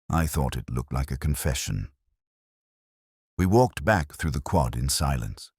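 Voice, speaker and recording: A man with an exceptionally low voice narrates a mystery as a melancholy detective. His delivery is measured and literary, yet the pitch moves expressively from phrase to phrase. The sound is husky and very close and dry. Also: no room sound. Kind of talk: scripted first-person audio mystery narration.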